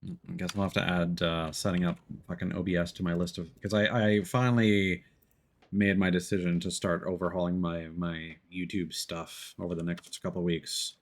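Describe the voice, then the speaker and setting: Low-voiced man chatting on a gaming stream. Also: level tone, moderate pace, slight background noise. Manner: rambling, thinking aloud while playing. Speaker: livestream host playing a game. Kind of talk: casual gaming stream chat.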